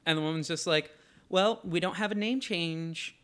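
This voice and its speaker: A man's voice: on the high side, moderate pace, a bit bright, smooth. A man in his late twenties from Florida, a podcast co-host telling a story in casual chat and swearing freely. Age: late 20s.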